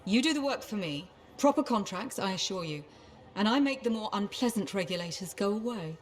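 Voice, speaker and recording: A woman's voice: mid-range voice, expressive, a little fast, natural voice. A woman playing a shrewd, brash dealmaker in a video game, confident and businesslike. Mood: firm, persuasive. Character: shrewd, brash female bar-keeping dealmaker. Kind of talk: naturalistic video game acting.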